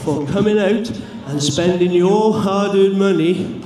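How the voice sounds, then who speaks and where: A man with a South Welsh accent from South Wales, cutting a fiery wrestling promo to a live crowd. His speech builds to furious shouting. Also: measured pace. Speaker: pro wrestler. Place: large arena with a live crowd. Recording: booming echo from a big hall, crowd noise.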